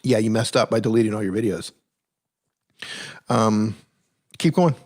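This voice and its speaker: A man's voice: lowish voice, normal speed, a little mellow. Casual man answering viewer questions live. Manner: direct, plain-spoken advice with frequent self-corrections; reads viewer questions aloud, then answers conversationally. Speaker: live stream Q&A host.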